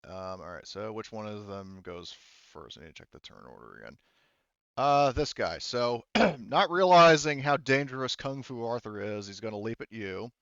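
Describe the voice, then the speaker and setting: A man with a lowish, clean and smooth voice runs a tabletop roleplaying game as game master. He talks casually and haltingly, working out the rules aloud. The sound is dry and slightly dull. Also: expressive, fairly bright tone, dry recording. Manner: halting, thinking aloud while checking rules and setup.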